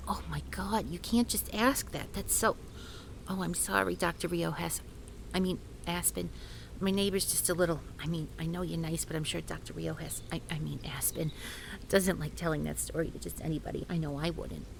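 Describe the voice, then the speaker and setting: Jittery young woman who talks before she thinks, low creaky voice, done by a woman. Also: steady tone, normal speed, a little hiss or hum, rough recording. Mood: flustered. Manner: flustered, rambling, self-correcting. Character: nervous, rambling young woman student. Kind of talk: scripted audio roleplay.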